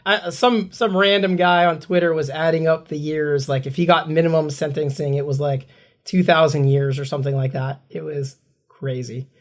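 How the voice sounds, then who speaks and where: A man co-hosting a podcast, his voice scratchy from a lingering cold, chatting in a relaxed, rambling way and reading a guest introduction. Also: a little high, moderate pace. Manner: relaxed, rambling, chuckles often. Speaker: podcast co-host. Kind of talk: casual podcast chat.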